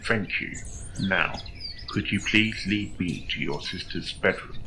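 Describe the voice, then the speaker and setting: A man's voice: low-pitched, takes it slowly, gravelly, noisy background, heavily acted. A man playing a composed, firm pony police detective in an audio story, polite and businesslike. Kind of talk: character acting in an audio story reading.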